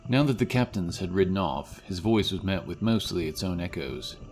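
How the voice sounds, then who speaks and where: Male storyteller, deep voice, dramatic fantasy audiobook read over background score. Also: animated, moderate pace, darkish tone, faint music behind. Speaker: narrator. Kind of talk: dramatic scripted fantasy narration.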